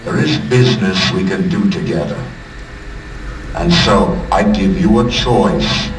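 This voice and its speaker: An actor playing an imperious, menacing middle-aged tycoon in a video game, speaking in a measured, pompous, coldly formal way. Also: male voice, deep voice, lively intonation, background noise. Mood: menacing bargaining. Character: imperious, menacing male tycoon who rules a city. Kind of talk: dramatic video game villain speech. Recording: distant, echoing voice under music. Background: music.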